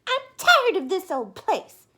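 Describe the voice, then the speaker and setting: A woman's voice: big pitch swings, takes it slowly, sharp tone, exaggerated voice. A woman voicing a bossy, fussy bird wife in a children's storybook, speaking emphatically and complaining. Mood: cross, insistent. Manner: emphatic, high-pitched complaining. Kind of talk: children's storybook read-aloud.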